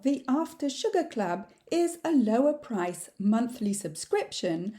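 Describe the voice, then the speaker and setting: Woman, podcast host, from Quebec, native French speaker, upbeat, fairly high, mellow tone. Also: big pitch swings, measured pace. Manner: upbeat, speaks directly to listeners. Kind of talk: podcast interview and promotional outro.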